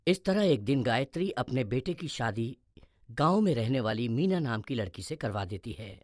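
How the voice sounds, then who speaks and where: A man narrating an animated moral story in a scripted storytelling style. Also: mid-range voice, normal speed, no echo, studio quality.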